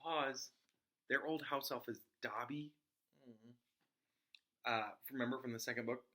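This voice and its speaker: A man with a bright, crisp-edged tone at a middling pitch reads a children's novel aloud like a classroom teacher. His delivery is informal, moving between narration and casual explanations for his listeners. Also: fairly even intonation, unhurried. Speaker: teacher reading aloud to students.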